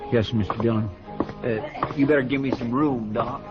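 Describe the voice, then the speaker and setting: An actor playing a chatty, simple, deferential deputy in a 1950s western radio drama. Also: male voice, mid-range voice, steady tone, normal speed, close to an everyday voice. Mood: folksy, eager. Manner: folksy, deferential, easily impressed. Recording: dull old radio recording, music behind.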